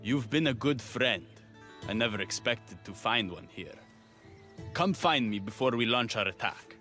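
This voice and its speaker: An actor playing a steadfast rebel leader in a mythic Greek video game. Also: male voice, mid-range voice, animated, a little slow, pushed and bright. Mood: fond. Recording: faint music behind the voice.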